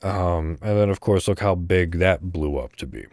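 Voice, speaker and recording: A man's voice: sits low, normal speed, a little mellow, no room sound, studio quality. A man hosting a casual solo podcast, rambling as he thinks aloud and swearing offhandedly. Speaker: podcast host.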